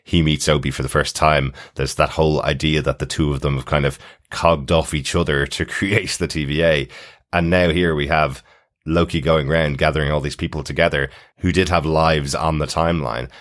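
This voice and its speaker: A man's voice: rumbling low, fairly even intonation, normal speed, fairly bright tone. A man co-hosting a podcast, chatting casually and musing aloud about a TV episode. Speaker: podcast host. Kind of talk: casual TV recap discussion.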